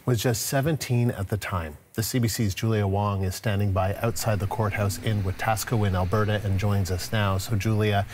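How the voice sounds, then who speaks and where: A man's voice: lowish voice, fairly even intonation, moderate pace, hardly any pauses, a little mellow, a little hiss or hum. A man with a Canadian accent anchoring broadcast news in a composed, formal manner.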